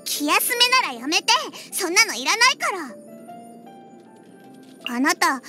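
A woman's voice: extremely high pitch, very animated, a little slow, bright, forceful tone, faint music behind, exaggerated voice. A woman voicing a proud, huffy young cat-girl bartender in an anime-style game, with a small childlike voice and cat-like word endings. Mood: sulky, then huffy, flustered. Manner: huffy, tsundere, slipping into cat-like "nya" endings. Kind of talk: Japanese anime-style game character voice lines.

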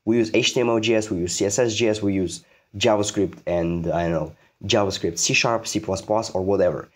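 Guy doing a casual coding tutorial, rough husky voice, repeats stuff and asks himself questions. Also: fairly low pitch, a little fast. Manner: repeats phrases for emphasis, asks and answers own questions. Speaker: programming tutor. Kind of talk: informal tutorial explanation.

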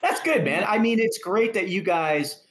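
A man's voice: high-pitched, normal speed, a bit bright, slight background noise. Man chatting as an enthusiastic podcast host. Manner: enthusiastic, jokey. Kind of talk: casual chat on a video podcast.

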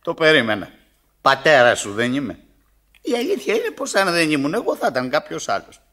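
A man's voice: a little high, wildly swooping pitch, pushed and bright, heavily acted. A man playing a petulant, childish old father in a radio play, querulous and grumbling. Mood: resigned, reminiscing. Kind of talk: radio theatre acting.

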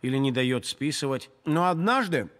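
A man's voice: medium pitch, animated, moderate pace, fairly bright tone, natural voice. A man voicing a chubby, guileless cartoon boy pirate, naive and plain-spoken. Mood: solemn confession.

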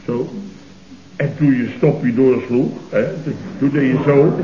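An actor playing a long-winded, aggrieved office boss in an old comedy radio play, theatrical and pompous. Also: male voice, medium pitch, fairly even intonation, unhurried, a crowd in the background, lightly acted. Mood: pompous, indignant. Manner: theatrical, pompous, repeating his listener's name. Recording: old roomy, muffled radio recording.

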